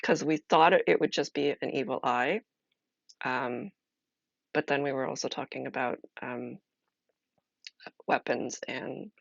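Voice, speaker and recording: Very creaky woman streamer, thinking aloud. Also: a bass voice, no echo, lo-fi sound. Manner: halting, thinking aloud with pauses. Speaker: streamer playing a solo role-playing game. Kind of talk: casual live-stream chat, explaining a game.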